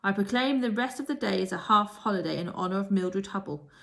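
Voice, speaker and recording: A woman's voice: mid-range voice, a little fast, gravelly, fairly bright tone. A woman voicing a kindly, commanding headmistress who makes formal proclamations in a children's storybook. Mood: proclaiming, commanding. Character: kindly, commanding old headmistress addressing her pupils.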